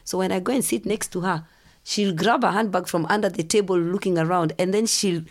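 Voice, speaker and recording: A middle-aged woman from Kenya, telling lively stories as a podcast guest and acting out the voices of people she quotes. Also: on the low side, brisk. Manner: animated storyteller, acts out quoted voices. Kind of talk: casual storytelling interview.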